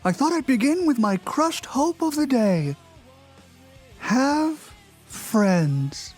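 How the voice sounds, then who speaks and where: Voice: high-pitched, very animated, slow pace, darkish tone, exaggerated voice. Gloomy, self-pitying cartoon character, put on by a voice performer, theatrical and mournful, swooping intonation, music underneath. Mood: gloomy, resigned. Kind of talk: dramatic reading of a moody blog.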